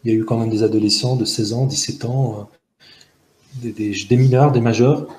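A man in his forties, originally from Algeria with Arabic as his first language, speaking as an interview guest, earnest and analytical, pausing often with fillers and restarts, talking outdoors into a close camera. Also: medium pitch, steady tone, darkish tone, reverberant, a little hiss or hum. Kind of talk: reflective testimony in an interview. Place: outdoors in a park-like garden by buildings.

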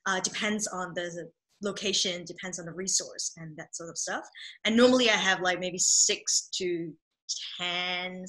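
A woman in her thirties, Cantonese her first language, talks over a home video call with a bright, ringing voice. She is a dance therapist, thoughtful and a little halting, full of ums and small restarts as she explains her work. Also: on the low side, rough recording. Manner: thoughtful, lots of ums and restarts, laughs easily. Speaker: dance movement therapist, guest. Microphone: video call webcam mic.

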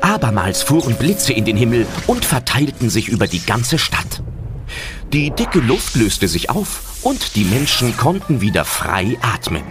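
A man's voice: medium pitch, very animated, unhurried, over music. A man narrating a scripted children's story as the narrator of a radio play.

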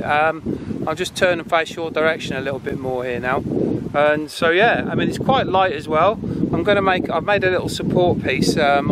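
Bloke in his 40s chatting in a field, slightly high voice, noisy outdoor camera audio. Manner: chatty, informal. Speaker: presenter testing handheld radios. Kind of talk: casual hands-on review. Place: open grassy field outdoors. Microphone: camera held at arm's length. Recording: noisy outdoor recording with wind.

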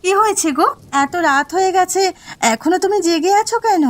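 A woman's voice: very high voice, normal speed. A woman voicing a shrewd, caring village wife in a cartoon, coaxing and concerned, with music and rain behind. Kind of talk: cartoon voice acting.

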